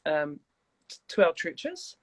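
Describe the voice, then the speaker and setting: A woman's voice: deep voice, very animated, slow, no room sound. Chatty New Zealand woman, dry sound. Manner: warm, rambling, with many restarts and fillers. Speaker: guest, church leader. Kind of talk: casual interview chat. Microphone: video call.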